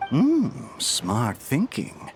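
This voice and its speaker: An actor playing a shady, wary smuggler in a video game, with a theatrical drawl. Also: male voice, mid-range voice, huge pitch swings, extremely slow, a little mellow, breathy edge, full character voice. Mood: sly, bargaining. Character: shady male smuggler haggling a deal, wary and sly.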